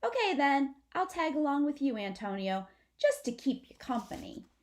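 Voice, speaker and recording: A woman's voice: high voice, very animated, moderate pace, a little mellow, voice pushed up. A woman voicing an eager, protective young male possum brother in a children's storybook. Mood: reassuring. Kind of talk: children's storybook read-aloud.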